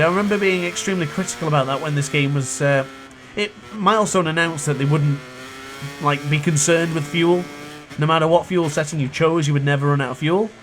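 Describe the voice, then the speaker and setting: A young man with a cold-hoarsened voice, chatting and thinking aloud while he commentates his own racing game play. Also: a little high, a bit bright. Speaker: gaming youtuber. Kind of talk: live gameplay commentary.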